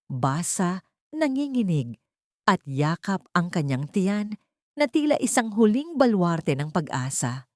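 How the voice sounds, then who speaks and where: A woman with a slightly low voice narrates a scripted drama. Her delivery is that of a dramatic storyteller, recorded close and dry. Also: a little mellow, no room sound.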